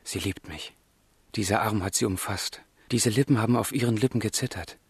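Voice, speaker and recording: A man's voice: on the low side, husky, no echo. An actor playing a lovelorn, tormented young man resolved to die, in a brooding, fervent radio drama style. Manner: slow, fervent, brooding declamation. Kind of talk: literary radio drama acting, declaimed monologue.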